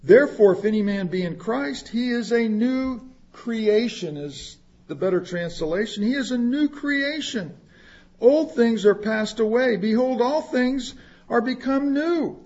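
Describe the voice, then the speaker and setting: A man's voice: very high voice, animated, measured pace, darkish tone, highs cut off. A man preaching a sermon, reading scripture aloud and explaining it in plain words, with asides to the congregation. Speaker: pastor.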